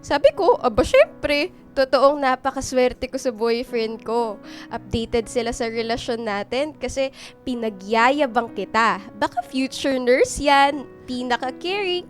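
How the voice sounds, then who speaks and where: High-voiced, playful young Filipina girlfriend. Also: unhurried. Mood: proud, teasing. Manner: teasing, expressive, sweet-talking. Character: playful, affectionate young college girlfriend, a working student. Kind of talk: Filipino radio drama acting. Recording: music bed under the voice. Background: background music.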